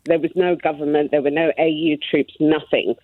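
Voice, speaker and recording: A woman's voice: low voice, flat delivery, normal speed, no room sound. Woman in her thirties on a tinny phone line. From Somalia. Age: 30s. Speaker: caller. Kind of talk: phone-in call.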